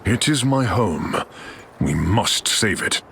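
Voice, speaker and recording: A man's voice: fairly low pitch, lively intonation, takes it slowly, gravelly, a bit bright, slight background noise, heavily acted. A man playing a proud, noble warrior god of thunder in a video game, with stately, archaic, declamatory delivery. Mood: proud, resolute. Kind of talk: theatrical video game voice acting.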